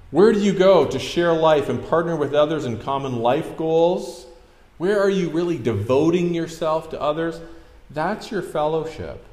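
A man's voice: on the high side, darkish tone. A middle-aged Canadian man preaching a sermon in a church hall, talking conversationally and asking the congregation questions. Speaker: pastor. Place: church hall with a projector screen. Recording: roomy hall echo.

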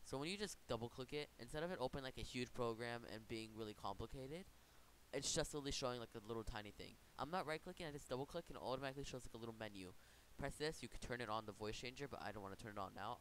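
A man hosting an informal software tutorial, talking in a casual, rambling way and correcting himself as he goes. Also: medium pitch, fast, low-quality recording. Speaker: tutorial host. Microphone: computer microphone. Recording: noticeable background hiss.

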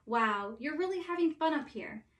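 A sunny, huggable little snowman who adores everyone, chattering with eager delight in a high, light voice, as a woman reads his lines aloud. He sounds affectionate and bubbly, like a storybook friend who loves everything. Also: animated, moderate pace, fairly bright tone. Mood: cheerful. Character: cheerful, huggy little male snowman.

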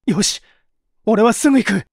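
A man voicing a courteous, earnest, worried young gentleman in an audiobook reading. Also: high-pitched, normal speed, creaky, dry recording, studio quality, pitched above the performer's own voice. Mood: urgent, resolute. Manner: polite and earnest. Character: earnest, courteous young master, worried about his father. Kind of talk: audiobook character voice.

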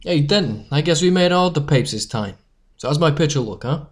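A man's voice: on the high side, animated, a little fast. A man voicing a jaunty, cocky newsboy leader in a fan audio drama.

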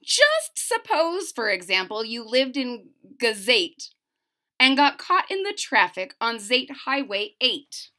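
A woman with a high, bright voice reads a picture book to children. Her delivery swings widely up and down, with theatrical stress on key words. She drops into casual, chatty asides between the verses. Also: very animated, slow. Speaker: storyteller reading a picture book aloud. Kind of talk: expressive children's read-aloud with casual asides. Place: small room at home.

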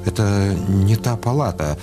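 A man narrating a scripted radio drama in a measured, literary storytelling manner. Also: fairly low pitch, animated, over music.

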